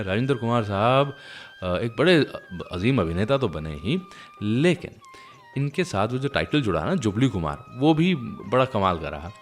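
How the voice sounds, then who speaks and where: A man hosting a music show, telling anecdotes in a chatty, conversational way straight to his listeners. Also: lowish voice. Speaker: radio show host. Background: music playing behind the voice.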